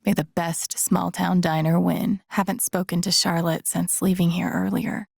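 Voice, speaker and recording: A woman playing an introspective, gently wry young woman in a video game, musing to herself in a naturalistic, low-key way. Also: flat delivery, moderate pace, gravelly, breathy edge, no echo, clean studio sound. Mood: wry, light. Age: young adult. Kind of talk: naturalistic game voice acting, inner-monologue remarks.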